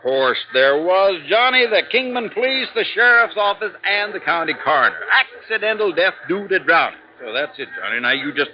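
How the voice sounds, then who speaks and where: An actor with an American accent playing an exasperated, dismissive insurance agent in a 1950s radio drama, grumbling and sardonic. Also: male voice, high voice, very animated, moderate pace, few pauses. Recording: dull vintage radio recording.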